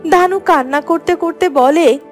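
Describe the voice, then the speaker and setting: A woman's voice: extremely high pitch, measured pace. A woman giving scripted narration for a children's fairy-tale cartoon. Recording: music under the voice.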